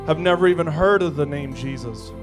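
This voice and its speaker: A man's voice: fairly high pitch, a little fast, music in the background. Breezy man making church announcements. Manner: breezy, with fillers and jokes. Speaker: church host. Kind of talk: casual announcements.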